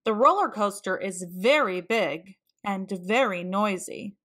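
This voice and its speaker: A woman reading a children's storybook aloud, expressively and with deliberate emphasis for young listeners. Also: mid-range voice, animated, a bit bright. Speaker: children's read-aloud narrator.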